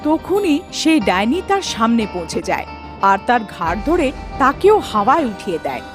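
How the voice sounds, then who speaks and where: A woman's voice: a little high, very animated, moderate pace, over music. A woman narrating a scripted cartoon story as its storyteller.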